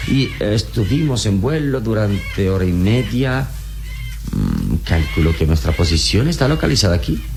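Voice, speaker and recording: An actor playing a calm, wise and fearless adventurer hero in a radio serial, speaking in a measured, reassuring, paternal way. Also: male voice, on the low side, vocal fry, exaggerated voice. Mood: deliberate, resolute. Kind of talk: Latin American radio serial acting. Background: dramatic background music.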